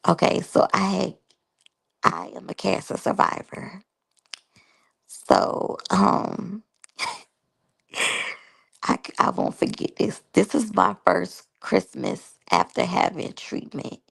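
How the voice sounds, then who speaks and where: A woman's voice: mid-range voice, slow, vocal fry, pauses often, a bit bright, slightly rough, poor audio. A woman in her thirties reviewing a reality show and sharing personal stories in a candid, chatty way, talking straight to her viewers. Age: 30s. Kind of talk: casual review and personal storytelling.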